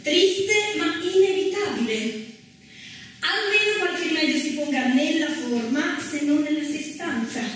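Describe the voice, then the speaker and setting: Pompous high-voiced official, very echoey. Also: female voice, expressive, slight background noise. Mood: condescending. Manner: pompous, measured, emphatic. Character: stern, pompous early-century official decreeing women's place. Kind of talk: theatrical declamation. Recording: very echoey, distant mic, music behind.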